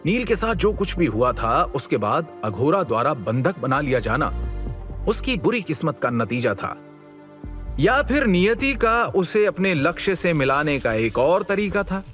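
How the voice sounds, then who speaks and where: A man's voice: a little high, sing-song, measured pace, a bit bright, soft background music. A man narrating a scripted dramatic story.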